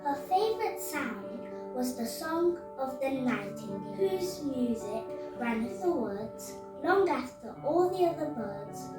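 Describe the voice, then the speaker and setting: A woman hosting a children's storytime, reading a story aloud in a deliberate storytelling manner. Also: high voice, very animated. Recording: echoey room, distant mic, music behind. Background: music.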